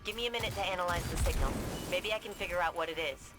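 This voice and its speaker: A woman's voice: lowish voice, quick pace, loud music behind the voice, natural voice. An actress playing a determined, defiant female engineer who argues insistently in a game. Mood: focused. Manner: insistent, technical. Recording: game audio over loud background noise.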